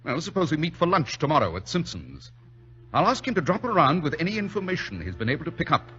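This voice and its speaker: A male actor with a British accent playing a shrewd, self-assured gentleman detective in an old radio drama, speaking in a clipped, slightly husky, authoritative way. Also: on the high side, huge pitch swings, fast talker. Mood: musing, assured. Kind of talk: 1940s radio drama acting. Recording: dull lo-fi old radio recording.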